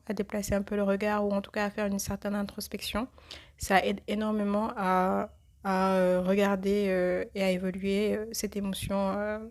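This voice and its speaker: A woman with a cold hosting a reflective religious podcast, speaking thoughtfully and pausing often with fillers. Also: medium pitch, a little mellow. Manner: hesitant, many filler pauses. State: has a cold. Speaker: podcast host.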